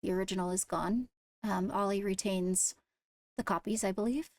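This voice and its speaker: A woman playing a composed court leader in a Minecraft roleplay, speaking formally and haltingly as she gives a briefing. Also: medium pitch, fairly even intonation, a little mellow, no echo, clean studio sound, natural voice. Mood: measured, serious. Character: measured female court leader briefing on attacks. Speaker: roleplayer leading a court meeting.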